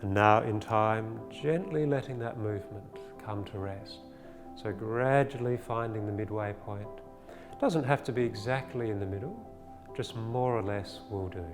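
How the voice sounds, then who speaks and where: Low-voiced man guiding meditation in long reverb. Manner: gentle, soothing, unhurried instruction with pauses. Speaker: meditation teacher. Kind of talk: guided body scan meditation. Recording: long reverb, faint music behind.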